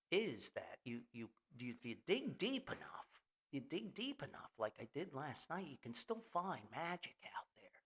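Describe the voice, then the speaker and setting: An older man hosting a podcast, rambling casually in a folksy, halting, storytelling way. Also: lowish voice, a bit bright. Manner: chuckles often, halting, folksy. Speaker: podcast host and author.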